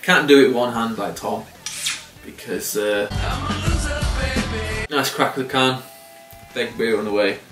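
A man's voice: medium pitch, unhurried, long pauses, over music. Laid-back swearing man reviewing beer. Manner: laid-back, swears casually, chuckles often. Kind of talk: casual to-camera review. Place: small indoor room by window blinds. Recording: roomy and slightly distant.

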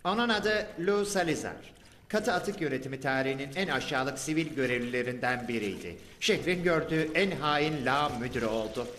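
A man voicing an earnest, serious male sewer-dwelling hero in a dubbed cartoon, explaining things briskly. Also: mid-range voice, lively intonation, fairly bright tone, a little hiss or hum, lightly acted. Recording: echoey reverb with music.

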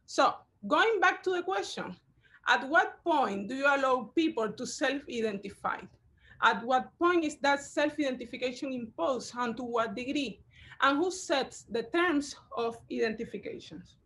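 A woman, a curator giving a measured online lecture over slides, pausing often with fillers. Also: sits high, animated, fairly bright tone. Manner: measured, halting, with frequent fillers.

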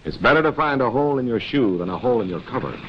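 A man's voice: mid-range voice, expressive, fast talker, background noise. An American man narrating a 1940s instructional film, chiding its characters directly in a wry, rhetorical way. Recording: dull 1940s film soundtrack, music.

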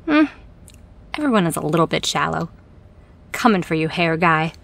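A woman's voice: sits low, very animated, moderate pace, vocal fry, noisy background, heavily acted. Frank, wry woman boss. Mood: playful. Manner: wry, candid, casual. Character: frank, dry-humoured female boss and podcast host. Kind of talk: audio drama acting, conversational.

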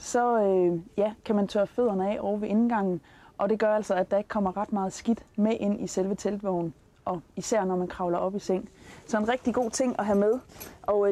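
A woman's voice: mid-range voice, mellow. A Danish woman in her forties presenting practical tips to camera as a travel host. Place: outdoors at a campsite, in and beside a tent awning.

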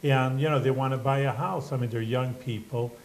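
A man's voice: medium pitch, steady tone, brisk, low-quality recording. Older man, rabbi host, from Chicago, friendly, chatty, rambling, studio. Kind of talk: TV studio interview.

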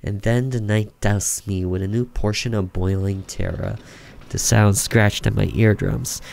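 A man reading a horror visual novel aloud in a slow, theatrical, suspenseful narration. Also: on the low side, steady tone, vocal fry, a little mellow. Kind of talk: dramatic narration of a horror story.

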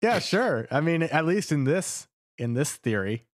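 A man's voice: a little high, sing-song, measured pace, dry recording, studio quality. A man musing tentatively as a podcast panelist, speculating in a casual, joking way.

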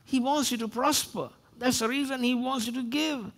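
Preacher, male, 60s, high voice, emphatic and repetitive sermon delivery. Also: fairly even intonation, moderate pace, fairly bright tone. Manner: emphatic, repeats phrases for effect. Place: church stage, indoors. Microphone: headset.

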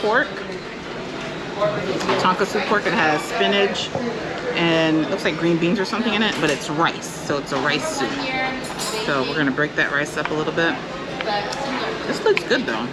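A woman's voice: low-pitched, animated, moderate pace, pauses often. A woman in her thirties giving a frank, casual food review as she eats and talks with her kids, recorded on an action camera at a busy restaurant table. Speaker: family vlogger reviewing food. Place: busy cruise ship buffet restaurant indoors. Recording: loud crowd of diners behind. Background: crowd chatter.

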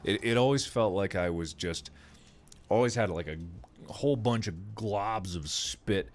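A man's voice: on the low side, unhurried. A man playing along on voice chat, laid-back and teasing as he chats during a game. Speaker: co-player on voice chat. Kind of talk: casual gaming chat.

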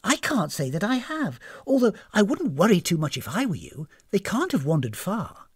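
A woman's voice: mid-range voice, very animated, moderate pace, breathy edge, dry recording, clean studio sound, voice pushed up. A woman voicing a friendly, flustered old lady who runs a spa, in an airy, hesitant, over-polite storybook manner. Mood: hesitant, concerned. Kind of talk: storybook character voice.

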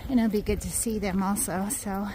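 A woman's voice: mid-range voice, a little fast, low-quality recording. An older woman vlogging cheerfully on a mountain trail, talking to a phone camera at arm's length and a little out of breath. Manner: cheerful, chatty, excitable. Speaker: hiking vlogger. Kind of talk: casual vlog narration. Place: outdoors on a dam and mountain trail. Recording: faint outdoor noise.

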